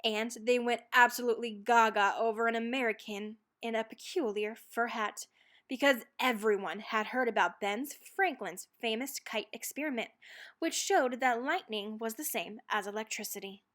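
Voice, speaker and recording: A woman reading a children's book aloud as narrator, expressively and dramatically, leaning on key words and pausing for effect. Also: fairly high pitch, a bit bright. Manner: dramatic, with stressed words and pauses. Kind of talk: expressive scripted storybook reading.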